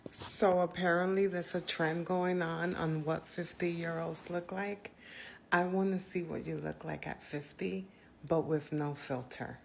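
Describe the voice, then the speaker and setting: A woman's voice: on the low side, fairly even intonation, unhurried, vocal fry, slight background noise. A woman in her early fifties speaking matter-of-factly in a casual selfie video. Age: 50s.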